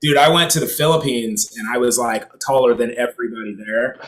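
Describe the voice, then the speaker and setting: A man's voice: medium pitch, fairly quick. Rambling man hosting a casual chat. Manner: rambling, filler-heavy, laughs often. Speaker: host and drummer.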